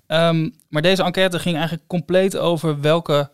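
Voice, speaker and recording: A man co-hosting a podcast in casual chat, with hesitant, halting phrasing. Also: a little high, steady tone, clear, resonant tone, no echo, clean studio sound. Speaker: podcast co-host.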